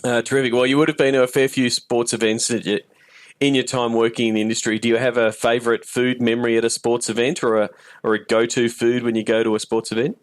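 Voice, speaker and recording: A man's voice: medium pitch, flat delivery, fast talker, dry recording. A dry, close recording of a man with a mid-range voice. He hosts a podcast interview in a casual, encouraging way. His questions come out a little hesitantly, with words repeated as he goes.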